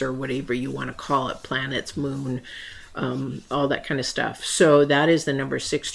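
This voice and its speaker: A woman from the United States giving a casual tarot reading, rambling with many fillers and restarts, her voice a little worn and going hoarse. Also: very deep voice. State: losing her voice. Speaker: tarot reader. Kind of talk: casual spiritual reading to camera.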